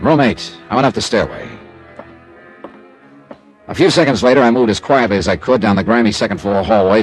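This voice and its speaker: An actor playing a wry, streetwise American male investigator narrating his case in a clipped, hard-boiled radio drama style. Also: fairly low pitch. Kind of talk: classic radio detective drama, first-person narration.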